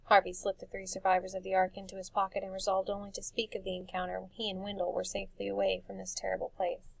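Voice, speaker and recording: A woman reading a dark fantasy story for children as expressive scripted narration. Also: mid-range voice, fast talker, vocal fry, slightly rough, no room sound. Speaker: audiobook narrator.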